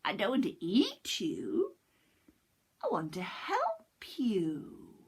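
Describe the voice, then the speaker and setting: A woman voicing a sly, smooth-talking male fox in a children's story, speaking softly and persuasively. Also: medium pitch, wildly swooping pitch, extremely slow, exaggerated voice. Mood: coaxing. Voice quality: soft, coaxing, sweetly persuasive.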